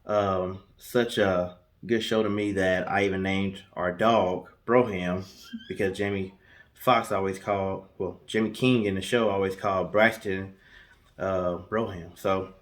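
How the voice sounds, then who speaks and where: A man's voice: sits low, steady tone, unhurried. A man co-hosting a storytelling guessing show in a casual, chatty way. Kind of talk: casual chat.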